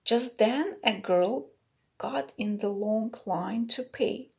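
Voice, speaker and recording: A woman reads to young children as a teacher would, at a very unhurried pace. Her voice sits a little high. She phrases things simply and pauses the story to explain it. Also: vocal fry, a little mellow. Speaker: teacher reading aloud. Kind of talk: children's picture-book read-aloud with explanatory asides.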